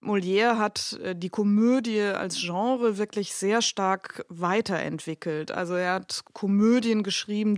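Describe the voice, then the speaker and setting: A woman's voice: mid-range voice, no echo. Middle-aged woman prof explaining stuff, dry close mic sound. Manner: thoughtful, explaining, with some fillers. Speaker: literature professor. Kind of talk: expert interview.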